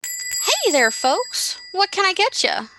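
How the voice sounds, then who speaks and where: Radio drama barista female actor: friendly, folksy chatterbox, bright high voice, faint music underneath. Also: wildly swooping pitch, slow, slight background noise, full character voice. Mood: friendly, chatty.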